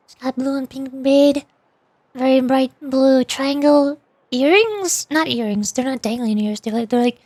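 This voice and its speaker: A woman game streamer talking casually in chatty, expressive, rambling asides. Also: high-pitched, no echo. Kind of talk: livestream voice acting of game dialogue with casual commentary. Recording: music in the background. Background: game music.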